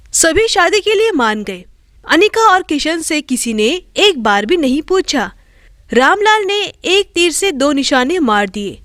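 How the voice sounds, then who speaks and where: A woman's voice: high voice, a bit bright, no echo, studio quality. A woman storyteller reading scripted narration for a moral tale.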